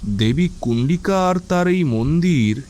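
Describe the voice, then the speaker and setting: A curious young visitor with a high, mellow voice. His questions swing up and down with wonder, in audio drama style. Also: animated, unhurried. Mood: inquisitive. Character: curious young man visiting, surprised and inquisitive.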